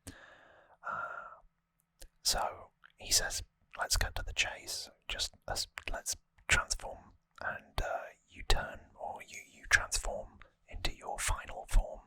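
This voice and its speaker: An ASMR host speaks entirely in a crisp, bright whisper. The delivery is slow, gentle and a little hesitant, like an informal recap of trivia. The recording is close to the microphone. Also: no echo. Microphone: close microphone, dry sound.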